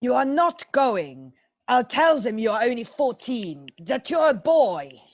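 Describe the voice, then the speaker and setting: A woman's voice: a little high, very animated, a little slow, darkish tone, no echo, muffled recording. A woman reading a protective older brother, a young soldier, in an amateur screenplay read-through. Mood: firm, worried.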